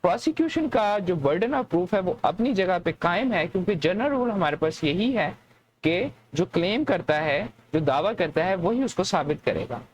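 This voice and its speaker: A woman's voice: lowish voice, lively intonation, normal speed, a little hiss or hum. A woman with a Pakistani accent giving an explanatory law lecture in a didactic way, mixing in English terms and often answering her own questions. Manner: didactic, repeats points and poses questions then answers them.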